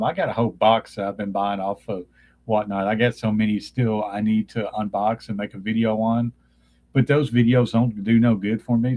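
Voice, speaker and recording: A man's voice: medium pitch, a little mellow. A man, chatting casually on a live stream from his childhood bedroom. He meanders, often stops to hunt for a name, and his voice is getting dry from talking so long. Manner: meandering, nostalgic, searches for names mid-sentence. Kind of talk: casual rambling chat.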